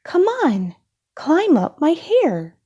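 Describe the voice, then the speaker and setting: A woman's voice: high-pitched, huge pitch swings, slow, mellow, lo-fi sound, voice pushed up, exaggerated voice. A woman voicing an earnest, determined young girl explorer in a children's storybook.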